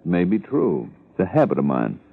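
Formal, guarded smooth customer in vintage radio noir, gravelly, swooping intonation, muffled old recording. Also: male voice, low voice, huge pitch swings, moderate pace, heavily acted. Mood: cool, guarded. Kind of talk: 1950s American radio detective drama acting. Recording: dull 1950s radio recording.

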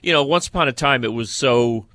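A man hosting a sports podcast, talking football in a halting way with repeated words. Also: medium pitch, bright, forceful tone, no echo. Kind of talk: football discussion.

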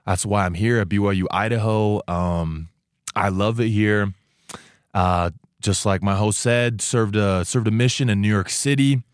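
A man of twenty-one from Taiwan, a student guest chatting casually in a radio studio interview, relaxed and talkative with many fillers and restarts. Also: fairly low pitch, a little slow, clear, resonant tone, no echo.

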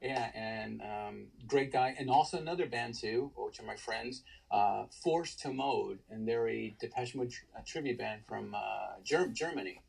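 Gravelly baritone man, husky. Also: normal speed, hardly any pauses, a little mellow, poor audio. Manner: enthusiastic storyteller, many restarts. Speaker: guest, tribute band singer. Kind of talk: casual podcast interview.

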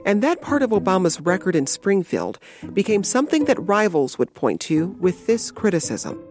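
A woman's voice: mid-range voice, sing-song, over music. Woman narrating a documentary podcast. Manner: measured, clear storytelling delivery. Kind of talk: scripted narration.

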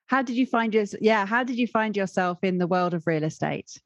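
A woman hosts a podcast interview in an upbeat, encouraging way, quick to praise her guest. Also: mid-range voice, animated, no echo, highs cut off. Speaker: podcast host.

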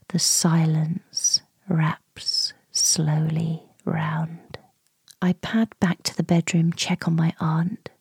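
A woman playing a weary, grieving middle-aged niece in an intimate radio drama monologue, breathy, hushed and deliberate. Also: on the low side, no room sound, exaggerated voice. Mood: hushed, sorrowful. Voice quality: breathy, whispery.

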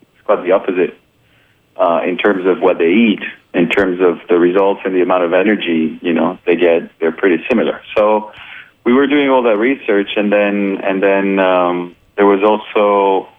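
Very deep-voiced man on a phone line. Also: steady tone, normal speed. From Colombia. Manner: easygoing, many fillers. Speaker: filmmaker and photographer, guest. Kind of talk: casual radio interview. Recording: narrow phone-like radio sound.

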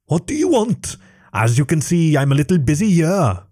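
A man voicing a loud, imperious female dragon. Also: a little high, very animated, moderate pace, slightly rough, pitched above the performer's own voice.

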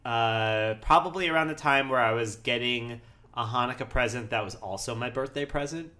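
A man's voice: medium pitch, normal speed, bright, forceful tone. A man in his forties co-hosting a podcast, chatty and teasing, often breaking into laughter. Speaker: podcast co-host. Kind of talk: casual chat.

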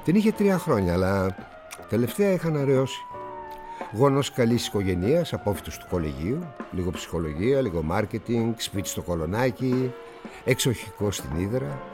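Cynical, theatrical newspaper publisher. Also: male voice, on the low side, sing-song, music in the background. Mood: cynical, assured. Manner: theatrical, patronizing, with musing pauses. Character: worldly, cynical, condescending older male newspaper publisher. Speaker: actor. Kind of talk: radio drama dialogue.

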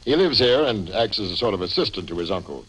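An actor playing a methodical, suspicious homicide captain in a 1950s radio drama, questioning with deliberate authority. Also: male voice, fairly low pitch, big pitch swings, a bit bright, slightly rough, exaggerated voice, rough recording. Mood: businesslike.

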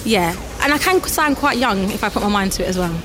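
A 27-year-old woman with a husky, deep voice, a presenter and DJ chatting and joking about herself. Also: animated, a little fast, background noise. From South East London.

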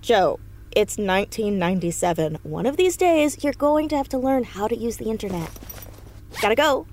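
A woman's voice: a little high, sing-song, moderate pace, darkish tone, a little hiss or hum. A woman playing an earnest, nosy fourteen-year-old girl in an audio drama, youthful and argumentative in a naturalistic way. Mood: brisk, eager. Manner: emphatic, argumentative.

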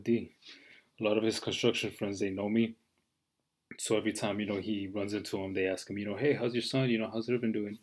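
A man in his twenties hosting his own podcast, talking casually and rambling with lots of fillers and some swearing. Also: on the low side. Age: 20s. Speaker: podcast host. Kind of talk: casual solo podcast monologue.

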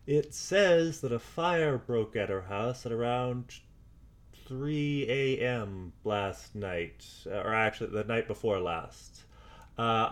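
A man playing a wary, methodical amateur investigator dictating audio logs in an audio drama, speaking deliberately and with some hesitation. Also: medium pitch, natural voice. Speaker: podcast drama actor. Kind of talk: audio log monologue, audio drama.

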